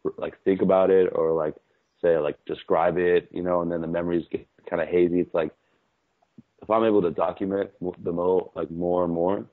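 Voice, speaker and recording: A man's voice: low-pitched, flat delivery, fairly quick. A man calling in as a podcast guest, rambling in laid-back, slangy casual chat. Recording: tinny phone-like call line.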